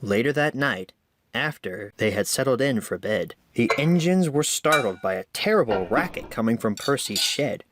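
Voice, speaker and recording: A man narrating a children's story in a measured storytelling manner. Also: medium pitch, lively intonation, noisy background. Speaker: amateur story reader.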